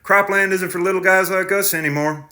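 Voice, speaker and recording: A man's voice: high voice, fairly even intonation, brisk, pushed and bright, pitched above the performer's own voice. A man reading aloud, voicing a terse, defensive young tractor driver in clipped working-class speech. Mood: blunt.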